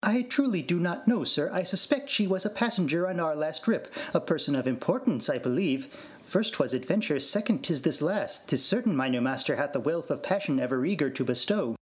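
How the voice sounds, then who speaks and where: A man's voice: a little high, lively intonation, fairly quick, hardly any pauses, lightly acted. A man playing a fussy, anxious robot servant in formal, theatrical archaic phrasing, in an amateur Shakespearean reading. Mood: fussy, explaining.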